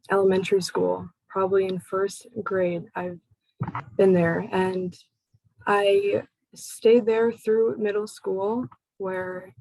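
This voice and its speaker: A young woman from the United States telling her personal story to a support group, speaking haltingly with pauses between phrases. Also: medium pitch, a little mellow, no echo, low-quality recording. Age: young adult.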